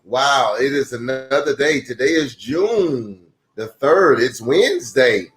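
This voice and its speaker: A man reads a picture book to children in a lively, swooping voice of medium pitch. He talks straight to his young audience as a storytime host. The room gives his voice a noticeable echo, and music plays underneath. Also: takes it slowly. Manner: addresses young listeners directly with rhetorical questions and exclamations. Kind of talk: animated read-aloud storytelling for children.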